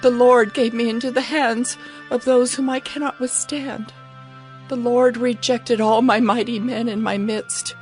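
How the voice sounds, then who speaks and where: A woman's voice: on the high side, steady tone, measured pace, natural voice. A woman playing a ravaged city personified as a grieving, humbled woman, in an anguished, pleading lament for a dramatized scripture reading. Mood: stricken grief. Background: music behind the voice.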